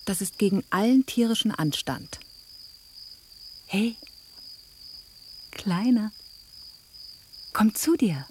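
An actress playing a sensible, sardonic mother cat, dry, well-spoken and gently chiding, in a radio drama. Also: medium pitch, lively intonation, slow pace, long pauses, slight background noise. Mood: soothing. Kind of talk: German radio drama acting.

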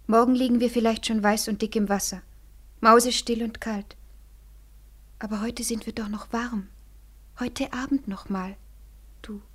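A woman's voice: fairly high pitch, fairly bright tone, slightly rough, full character voice. An actress playing a lonely, tender young woman in a 1940s radio play, coaxing and pleading, often sinking into a whisper. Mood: coaxing, wistful. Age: young adult.

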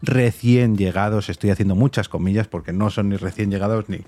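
Effusive low-voiced man, Castilian Spanish podcast host. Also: fairly even intonation, brisk, mellow, faint music behind. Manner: effusive, enthusiastic. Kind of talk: casual interview chat.

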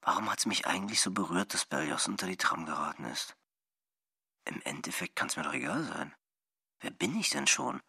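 An actor playing a wry, self-questioning poet who muses aloud to himself in a radio play, with a rough voice. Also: male voice, deep voice, expressive, moderate pace, pushed and bright, no room sound, clean studio sound, heavily acted. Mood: wry musing.

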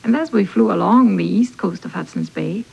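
A woman speaking in a measured, descriptive way, reflecting on her own experiences as a documentary interviewee. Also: medium pitch, gravelly, husky, dull audio. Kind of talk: reflective personal recollection. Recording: archival radio documentary played from tape.

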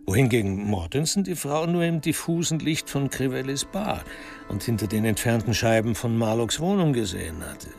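A man narrating a literary crime radio drama in a measured, precisely articulated way. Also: medium pitch, big pitch swings, vocal fry, a little mellow, faint music behind.

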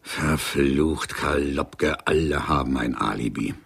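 A man's voice: rumbling low, takes it slowly, fairly bright tone, soft background music, full character voice. An actor playing a grumbling, sardonic police detective in a German radio crime drama, peppering his speech with Italian. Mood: exasperated. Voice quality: gravelly, husky. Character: grumbling, impatient police detective in Rome, male.

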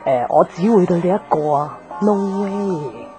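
An actress playing a guarded, jaded young tomboyish bar owner in a radio drama, offhand and slangy with a Hong Kong Cantonese accent. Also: female voice, lowish voice, animated, measured pace, dark tone. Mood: rueful, confiding. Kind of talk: naturalistic radio drama acting. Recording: music behind the voice.

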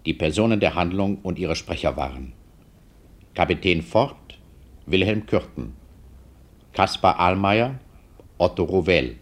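Male announcer, vintage broadcast style: formal, deep, unhurried delivery of programme credits. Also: lively intonation. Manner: formal, measured. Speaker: radio announcer. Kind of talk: formal mid-century radio announcement, reading credits.

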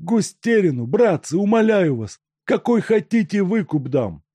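A man's voice: high voice, big pitch swings, measured pace, warm tone, slightly rough, no echo, voice pushed up, full character voice. A man voicing a cornered, pleading male robber. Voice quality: strained, raised and pleading. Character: desperate captured male robber, pleading then menacing. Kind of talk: audiobook character voice.

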